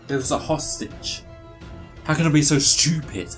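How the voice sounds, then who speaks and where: A young man in his twenties giving excitable, casual commentary on a video game as he plays. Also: fairly high pitch, measured pace. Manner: excitable, exclamatory, talking to himself. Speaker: gaming streamer. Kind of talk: casual let's-play commentary. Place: small room at home. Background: game music.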